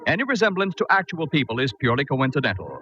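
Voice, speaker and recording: A man's voice: mid-range voice, very animated, quick pace, bright, forceful tone. A man with an American accent reading scripted announcements in a formal, polished 1940s radio style. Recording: dull vintage radio recording with music.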